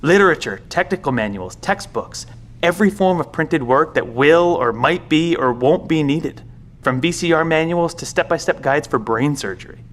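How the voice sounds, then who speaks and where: A man acting in an audio drama as a weary, secretive professor with a measured, explanatory way of talking. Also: on the high side, natural voice. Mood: solemn. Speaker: professor, secret bunker guardian.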